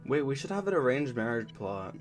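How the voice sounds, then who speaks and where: Excitable, jokey man on a stream. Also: medium pitch, faint music behind. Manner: excitable, jokey, quick reactions. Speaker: indie animation team member, stream co-host. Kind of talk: casual livestream chat.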